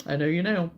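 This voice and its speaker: A woman hosting a crochet live stream, chatting casually with viewers while she works, her voice still hoarse and froggy from a cold. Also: on the low side, highs cut off. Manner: relaxed and chatty, mutters to herself while working.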